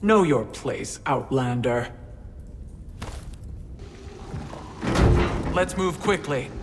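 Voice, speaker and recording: An actress playing a stern, commanding warrior royal in a video game cut-scene, speaking formally and imperiously. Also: female voice, very deep voice, lively intonation, measured pace, noisy background.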